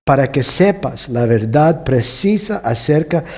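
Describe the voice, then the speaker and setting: A man teaching from the Bible to a congregation, with Costa Rican and Mexican Spanish and a halting manner full of restarts. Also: mid-range voice, animated. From United States, California. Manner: warm, halting with many restarts, chuckles often. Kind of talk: sermon teaching.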